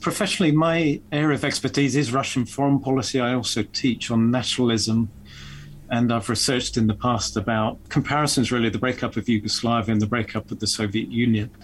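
A man's voice: medium pitch, fairly even intonation, brisk, a little hiss or hum, rough recording. A man speaking as an associate professor on a discussion panel, reflective and a little hesitant.

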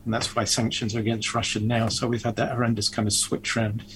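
A man's voice: fairly low pitch, little pitch movement, fairly quick, a little hiss or hum, rough recording. Reflective man, academic panel guest. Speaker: panel guest, associate professor. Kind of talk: panel discussion.

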